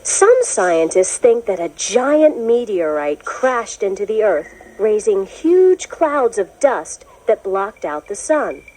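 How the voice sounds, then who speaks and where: A woman narrating a children's educational book, reading in a clear, measured, explanatory way as if to young listeners. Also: medium pitch, very animated, dark tone, poor audio. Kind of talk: scripted storybook narration.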